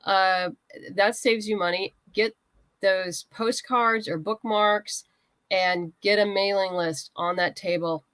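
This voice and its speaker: A woman's voice: mid-range voice, steady tone, slow pace, fairly bright tone, clean tone, no room sound. Woman with a dry, close studio sound. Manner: practical, conversational advice-giving. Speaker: guest writer and comics creator. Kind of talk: casual interview chat.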